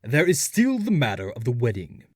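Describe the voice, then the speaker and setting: Man playing a husky, indignant villain, swooping delivery. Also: mid-range voice, wildly swooping pitch, moderate pace, no room sound, clean studio sound. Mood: sullen. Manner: blustering, formal. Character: indignant, haughty male villain, protesting and cornered. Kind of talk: scripted comic-dub acting.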